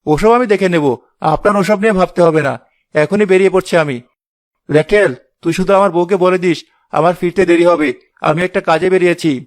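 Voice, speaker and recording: A man's voice: high-pitched, brisk, lightly acted. A man voicing a good-hearted, brave village husband in a cartoon. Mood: willing, confident. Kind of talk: Bengali cartoon voice acting.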